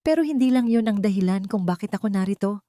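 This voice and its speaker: A woman voicing a bitter, secretive elderly former housemaid in an audio storybook, in a hushed, confiding manner. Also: medium pitch, lively intonation, fast talker, no room sound, clean studio sound, pitched above the performer's own voice, lightly acted. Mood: secretive urgent. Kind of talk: audio storybook character dialogue.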